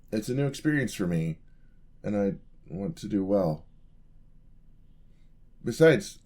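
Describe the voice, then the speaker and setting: A man plays a young apprentice paladin in a fantasy audio roleplay. His voice is low and he speaks slowly, with a polite, eager and slightly clumsy charm. He chats hesitantly and gets flustered easily as he addresses the listener. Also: dark tone. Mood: nervous, bashful.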